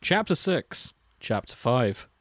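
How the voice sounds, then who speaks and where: A man's voice: medium pitch, takes it slowly, no echo, studio quality. Wry young man reading aloud. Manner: wry, deadpan, dips into mocking quotes. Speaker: podcast co-host reading fan fiction. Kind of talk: casual chat and comic dramatic reading.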